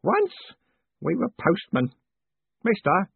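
Teary old postman, timid, high wobbly voice, a man doing him, tinny dry audio. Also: wildly swooping pitch, takes it slowly, gravelly, husky, no room sound, exaggerated voice. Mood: tearful. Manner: deferential, keeps saying "sir". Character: tearful old male postal worker, timid.

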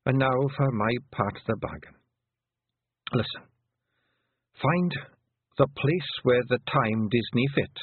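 Brief: man putting on an old Scottish fairy matriarch, wry and fading, lifted pitch, husky tone, tinny dry phone-like sound. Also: no room sound, heavily acted. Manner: homely Scots brogue, fond and teasing. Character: tiny old fairy clan mother, dying, wry. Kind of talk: audiobook character voice. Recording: muffled phone-like dry recording.

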